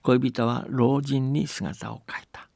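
Creaky gravelly guy explaining folktales, dry close sound, keeps asking for agreement. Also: mid-range voice, fairly even intonation, slow pace, darkish tone, no echo. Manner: explanatory, often seeking agreement. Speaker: storyteller and folktale commentator. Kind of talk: folktale reading and conversational explanation.

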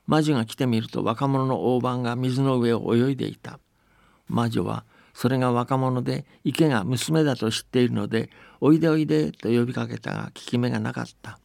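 A man's voice: medium pitch, fairly even intonation, brisk, gravelly, a little mellow, no echo, studio quality. A man telling and explaining folktales conversationally, often checking that the listener agrees. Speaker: storyteller and folktale commentator.

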